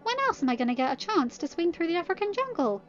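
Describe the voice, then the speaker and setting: A woman's voice: very high voice, very animated, quick pace, dull audio, soft background music, pitched above the performer's own voice. A woman voicing an eager, goofy, cheeky teenage boy with a playful, chattering manner in a story.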